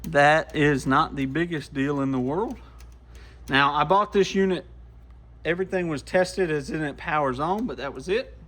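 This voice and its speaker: Folksy man with a slightly high voice. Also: moderate pace, a bit bright. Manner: folksy, easygoing, talks to himself while working. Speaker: radio repair shop vlogger. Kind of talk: casual hands-on bench commentary. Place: small radio repair workshop, indoors.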